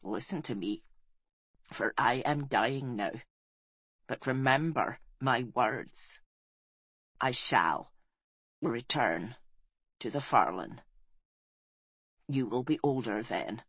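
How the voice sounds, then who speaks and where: A woman voicing a dying warrior queen, halting and solemn, in a weak, breathy whisper, for an audiobook story. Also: very deep voice, animated, a bit bright, dry recording, voice pushed down, heavily acted.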